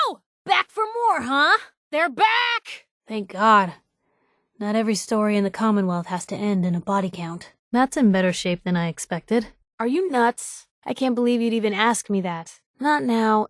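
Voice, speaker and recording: A woman playing a sassy, warm-hearted young reporter and loyal companion in a video game, talking in wry, breezy, clipped slang. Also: on the high side, measured pace, dry recording, clean studio sound. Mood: fierce, urgent. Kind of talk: game voice lines, short reactive barks.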